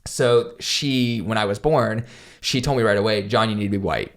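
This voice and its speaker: Young guest from South Carolina, lowish voice, relaxed and candid, jokes at his own expense. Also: moderate pace. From South Carolina, USA. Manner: candid, storytelling, self-deprecating jokes. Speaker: podcast guest, pro skateboarder. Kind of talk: casual conversation.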